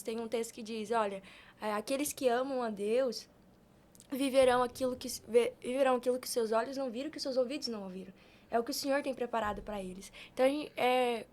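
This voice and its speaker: A Brazilian woman talking conversationally as a podcast guest, with an earnest, emphatic, colloquial manner about her. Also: a little high. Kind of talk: conversational faith-based motivational talk.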